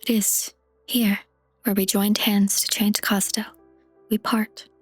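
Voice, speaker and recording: A gentle, idealistic fantasy game queen played by a woman speaks in a breathy, husky hush. Her lines stay even and solemn, carrying quiet sorrow. The recording is dry and close, with faint music behind. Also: medium pitch, no room sound. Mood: wistful. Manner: measured, subdued, solemn. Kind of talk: dramatic fantasy game dub acting.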